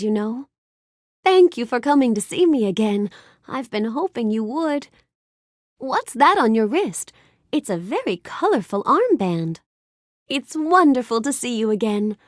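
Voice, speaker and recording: A woman's voice: high-pitched, dry recording, clean studio sound. A woman voicing a gracious, bookish young storybook heroine in a video game, speaking politely and expressively with careful enunciation. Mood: affectionate. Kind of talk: scripted video game character lines.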